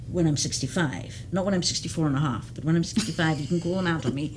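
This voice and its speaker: Low-voiced woman explaining senior care. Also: fast, a little hiss or hum. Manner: earnest, explains at length with many restarts. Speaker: geriatric case manager, guest. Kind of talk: explanatory interview talk. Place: community TV studio.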